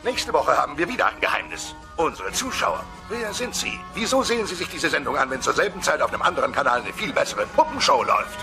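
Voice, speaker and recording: Music sits behind a gravelly dinosaur mystery presenter. He intones ominously and deadpan, parodying a spooky television show in cartoon style. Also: a little high, quick pace, few pauses. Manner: dramatic, deadpan.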